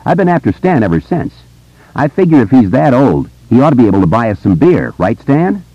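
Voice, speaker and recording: A man's voice: medium pitch, sing-song, a little fast, husky, no room sound, dull audio, pitched above the performer's own voice. A man voicing a hyperactive, wisecracking boy show-off with a brash, teasing, theatrical manner in an audiobook. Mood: manic glee.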